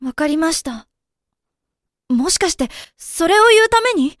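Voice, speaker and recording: Very high-voiced, guarded young woman by an actress. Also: expressive, measured pace, fairly bright tone, no echo, studio quality, heavily acted. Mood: puzzled. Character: worried, guarded young woman under surveillance. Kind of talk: Japanese amateur voice drama acting.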